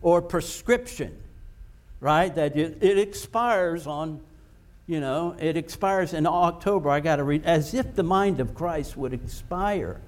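A man preaching a sermon, slow and emphatic, repeating words for stress. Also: fairly high pitch.

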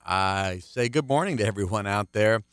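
A man with a deep baritone voice, teaching the Bible on his radio program in an earnest, explanatory way. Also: lively intonation, normal speed, fairly bright tone, clean tone, dry recording, clean studio sound. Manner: earnest, explanatory, counts things out.

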